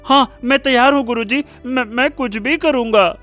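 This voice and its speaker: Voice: mid-range voice, moderate pace, a bit bright, faint music behind, lightly acted. A performer voicing Raju, an impulsive, emotional young son in a cartoon moral story, often repeating words. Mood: desperate, pleading. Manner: stammering, repeats words when anxious. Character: Raju, greedy boastful young man turned desperate, remorseful son.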